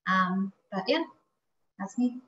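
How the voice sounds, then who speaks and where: A woman's voice: medium pitch, slow, a bit bright, a little hiss or hum. A young woman, a medical resident, introducing herself in a friendly, plain way. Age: young adult. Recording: echoey, muffled call audio.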